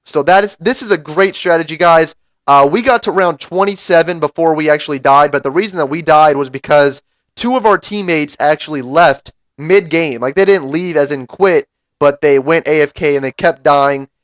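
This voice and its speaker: A young man with a raspy voice from a cold, giving casual strategy commentary over gameplay to his viewers. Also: fairly high pitch, normal speed, no echo. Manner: casual, explanatory, addresses viewers as guys. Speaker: gaming YouTuber.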